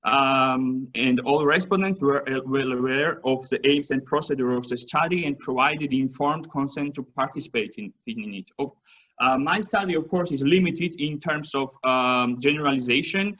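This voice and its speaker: A man from Georgia, a native Georgian speaker, presents his research in English at a seminar. He pauses often with fillers and restarts words as he goes. His voice is mid-pitched and comes through dull, lo-fi audio. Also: fairly bright tone. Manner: halting, many fillers and restarts. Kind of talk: academic presentation.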